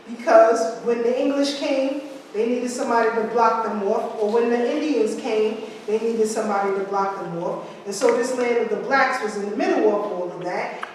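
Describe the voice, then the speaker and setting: Woman lecturer, high register, conversational asides; long hall reverb, distant camera mic. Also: slight background noise. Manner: asides and rhetorical questions to audience. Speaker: history speaker. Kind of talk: lecture reading from slides. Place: large room with hard walls. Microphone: far camera. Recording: echoey hall from far off.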